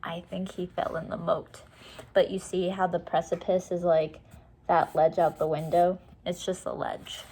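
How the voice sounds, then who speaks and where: A woman's voice: fairly low pitch, a little mellow, slightly rough. A woman reading a children's storybook aloud expressively, explaining things simply and casually to young listeners.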